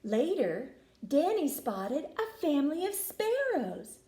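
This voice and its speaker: A woman reading a children's picture book aloud with expressive, folksy inflection. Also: sits high, takes it slowly, roomy sound. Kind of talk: animated children's story reading.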